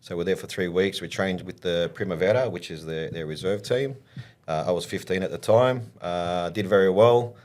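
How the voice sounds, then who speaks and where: A man, middle-aged, telling stories from his playing days in a relaxed interview, with many pauses and restarts. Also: low voice. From Australia. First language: English. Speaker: football coach and former player, podcast guest.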